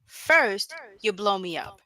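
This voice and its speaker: A woman acting a tense, sharp, sarcastic action heroine. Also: mid-range voice, lively intonation, slow. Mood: scolding. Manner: sarcastic, confrontational. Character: furious foul-mouthed action heroine named Raven, sarcastic, tough. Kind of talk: amateur screenplay table read.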